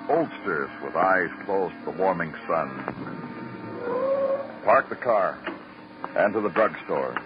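Brief: brooding, low-pitched police detective, terse and weary, muffled vintage radio sound with music. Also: male voice. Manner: clipped questions, brooding staccato narration. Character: terse, world-weary police detective narrating his case. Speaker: detective lead and narrator. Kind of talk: 1950s radio crime drama acting. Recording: muffled old radio broadcast, loud music.

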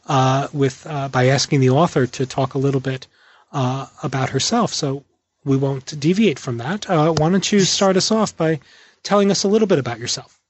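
A man hosting an interview podcast, introducing the show and speaking with a guest in a measured, bookish way. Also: medium pitch, muffled recording. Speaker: podcast host interviewing an author. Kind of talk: scripted introduction, then conversational interview.

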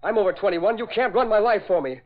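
An actor playing a defiant, argumentative young playboy son in 1940s radio drama style. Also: male voice, sits high, lively intonation, fast. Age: young adult. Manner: insistent, argumentative. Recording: dull vintage radio recording.

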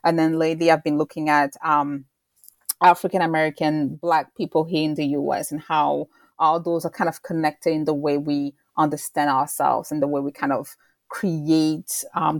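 A woman's voice: low-pitched, steady tone, moderate pace, no echo. A woman in her thirties from Rwanda, answering interview questions thoughtfully with frequent ums and restarts. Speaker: guest author.

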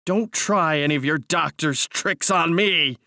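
A man's voice: mid-range voice, normal speed, no echo, studio quality, natural voice. A man voicing a deluded, imperious madman in a storybook reading, grandiose and commanding, with simmering rage. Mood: triumphant fury.

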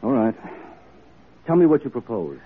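An actor playing a practical gentleman lawyer in a classic radio drama, an affectionate, hesitant, cautioning friend. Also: mid-range voice, sing-song, moderate pace, a little hiss or hum, lightly acted. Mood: concerned. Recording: muffled old radio drama recording.

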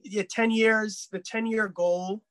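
A man in his early thirties talks as a podcast guest, animated and quick to laugh as he tells a story. His voice sits high and sounds bright, and the recording is close and dry. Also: dry recording. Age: 30s. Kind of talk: casual podcast chat.

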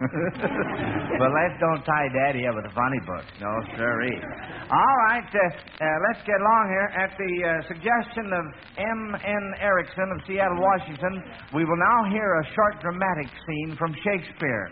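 A high-pitched man hosts a vintage radio children's quiz. He talks in a folksy, encouraging way. The sound is tinny and narrow, with background hiss. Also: expressive, moderate pace. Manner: folksy, encouraging children, repeats their answers. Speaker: quiz host. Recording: tinny, hissy old radio broadcast.

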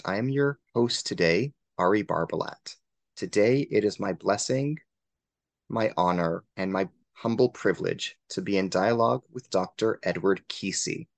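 A man with a very low, gravelly voice hosts an interview podcast. He speaks in a formal, courteous and effusive way. The sound is dry and close. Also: animated, normal speed, no echo. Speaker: podcast host.

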